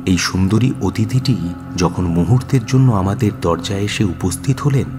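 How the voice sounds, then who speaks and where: An actor playing a detective's earnest companion, narrating a radio drama in a measured, expressive, descriptive way. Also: male voice, low voice. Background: music.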